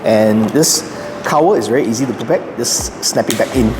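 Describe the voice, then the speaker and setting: A man's voice: medium pitch, moderate pace, noisy background. A man hosting a casual product guide, explaining things step by step. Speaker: YouTube host. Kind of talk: casual unboxing and setup guide. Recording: echoey room with long reverb, music behind. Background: clear background music.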